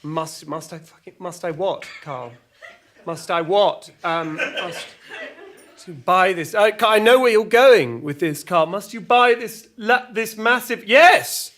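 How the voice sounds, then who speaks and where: A man playing a flustered, exasperated storyteller, halting and repeating himself through a comic monologue full of petty grievances. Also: high voice, a bit bright. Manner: halting, repetitive, stumbling over words. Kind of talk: rambling semi-improvised comic monologue with acted argument quotes.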